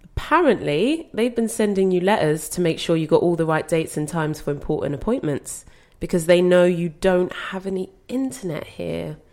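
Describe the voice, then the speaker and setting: A woman's voice: on the low side, expressive, moderate pace, darkish tone. Exasperated young woman. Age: young adult. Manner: reasoning, exasperated. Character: concerned, exasperated young adult grandchild, patient but firm. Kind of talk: naturalistic audio play acting.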